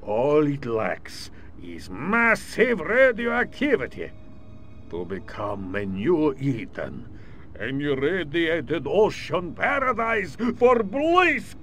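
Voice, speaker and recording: A scheming alien villain disguised as a general, gloating in a slow, theatrical drawl. His pitch leaps wildly from low murmurs to triumphant highs. Faint game ambience hums behind the video game cutscene. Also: big pitch swings, fairly bright tone, slight background noise, heavily acted.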